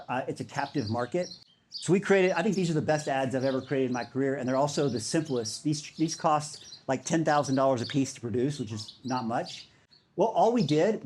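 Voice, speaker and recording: A man's voice: fairly high pitch, darkish tone, slight background noise. A man giving a conference talk in a casual, enthusiastic way, with many fillers. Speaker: speaker.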